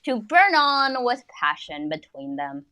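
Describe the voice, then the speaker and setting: A woman narrating fan fiction in a mock-dramatic style, with theatrical, drawn-out phrasing and dry asides. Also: a little high, sing-song, pushed and bright, poor audio. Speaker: narrator of a comic dramatic reading.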